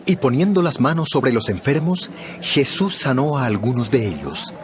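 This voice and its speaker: A man's voice: mid-range voice, expressive, normal speed. A man narrating a dramatized Bible story from a script.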